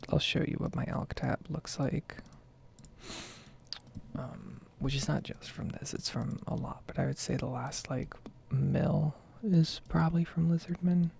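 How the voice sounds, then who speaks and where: A woman speaking in a soft, breathy whisper, rambling casually in an ASMR style as she comments on her own gameplay. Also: low voice, fairly even intonation, a little slow, slight background noise. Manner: casual, meandering. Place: basement at home.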